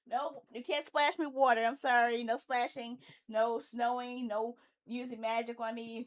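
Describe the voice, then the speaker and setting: A woman hosting a livestream, chatting casually and playfully and talking to herself as she sorts out her setup. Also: a little high. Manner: playful, talks to herself while troubleshooting. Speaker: live streamer host. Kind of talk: casual livestream chat while setting up a game.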